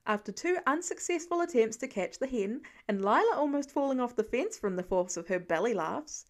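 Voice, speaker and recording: A woman's voice: on the high side, animated, a little fast. A woman reading scripted fiction aloud as an audiobook narrator.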